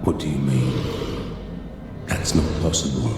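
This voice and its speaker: Very deep, gruff, skeptical warrior. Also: male voice, steady tone, measured pace, full character voice. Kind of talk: dramatic game cutscene acting. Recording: strong echo, loud background noise.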